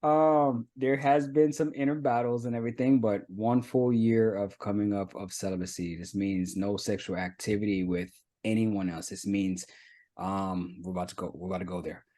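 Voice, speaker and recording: A man in his thirties from the United States shares a personal story on a chat show. His voice sits fairly low, and he speaks earnestly and reflectively, pausing to search for words. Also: fairly even intonation, warm tone. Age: 30s. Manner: earnest, reflective, halting with fillers. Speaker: guest. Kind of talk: candid personal testimony.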